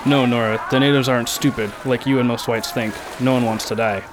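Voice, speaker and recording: A man's voice: medium pitch, steady tone, fairly quick, lightly acted. A man playing a blunt, principled, commanding ship passenger in a radio drama, with noticeable noise behind him. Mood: stern, assured. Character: terse, principled, take-charge male ship passenger.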